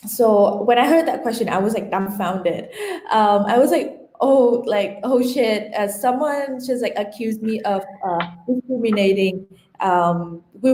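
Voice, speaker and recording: A woman's voice: medium pitch, a little mellow. A young woman from Vietnam, giving an informal, conversational talk full of personal storytelling and casual "like"s. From rural Vietnam. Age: young adult. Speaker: conference speaker, women-in-tech community organizer. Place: indoor room on an online conference call. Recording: roomy, echoey online call.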